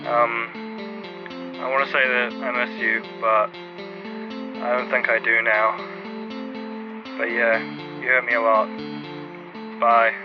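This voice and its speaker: A man's voice: mid-range voice, flat delivery. Hesitant man on a lo-fi voicemail. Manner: hesitant, halting, hurt. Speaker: caller leaving a voicemail. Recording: lo-fi voicemail clip over music.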